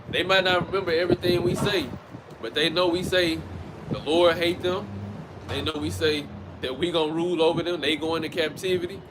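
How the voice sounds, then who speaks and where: A man teaching outdoors by a street in a loose, conversational way, often restarting his phrases. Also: fairly high pitch. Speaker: street preacher. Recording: outdoor traffic noise. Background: passing vehicles.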